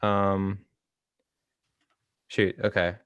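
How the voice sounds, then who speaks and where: A young man co-hosting a casual discussion, talking in a hesitant, halting way. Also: low-pitched, level tone, fairly bright tone, clear, resonant tone, no room sound. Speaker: co-host of a reading discussion.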